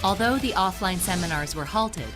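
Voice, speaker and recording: A woman reading a scripted corporate video narration in a steady, announcing way. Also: fairly low pitch, normal speed, over music.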